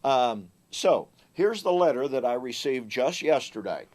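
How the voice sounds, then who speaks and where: A man hosting a Bible teaching show. He speaks in a measured way, slowing down and growing louder to stress key words. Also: mid-range voice, lively intonation. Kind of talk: solo Bible teaching talk to camera.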